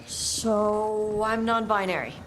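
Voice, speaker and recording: A blunt young adventurer, non-binary and defensive, played by a woman in a video game. The voice sits at a middling pitch and comes in short phrases, with music underneath. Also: steady tone, slow, natural voice, poor audio. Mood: blunt, guarded. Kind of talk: video game dialogue acting.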